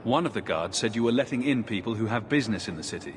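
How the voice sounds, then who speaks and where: An actor playing an earnest, direct refugee hero in a video game, polite and inquiring. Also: male voice, lowish voice, expressive, quick pace, lightly acted. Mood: insistent. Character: earnest, questioning young refugee mage hero. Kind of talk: video game character acting. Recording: clear background noise, outdoor ambience.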